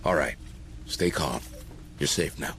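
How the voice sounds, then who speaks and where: A man's voice: rumbling low, measured pace, a bit bright, slight background noise, heavily acted. A man voicing a battle-ready marine grunt in a video game, speaking in clipped military phrases. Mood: calm, reassuring. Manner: clipped combat callouts.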